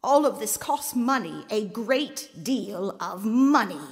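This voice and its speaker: A patient, worldly-wise nun of a convent in an audio drama. She counsels in a measured, gently chiding way, with very lively intonation and slow pacing. The sound rings with long reverb. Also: mid-range voice. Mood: firm, persuasive. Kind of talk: full-cast audio drama acting.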